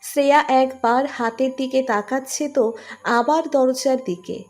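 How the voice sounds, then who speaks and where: A woman's voice: sits high, unhurried, darkish tone. A woman reading a scripted romantic story as narrator.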